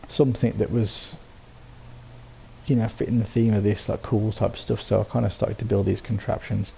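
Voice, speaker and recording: A man with a low, gravelly voice, made croaky by a sore throat. His tone is dark and mellow, and he rambles along with ums and false starts as he walks through his build. A faint hiss sits under the voice. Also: flat delivery, moderate pace, poor audio. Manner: rambling, full of ums and restarts. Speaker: game settlement builder. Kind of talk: casual voice-over walkthrough.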